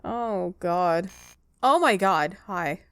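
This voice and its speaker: A woman giving casual gameplay commentary, thinking aloud in a halting, hesitant way. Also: on the low side, lively intonation, a bit bright, clean tone, no room sound, studio quality. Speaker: game player.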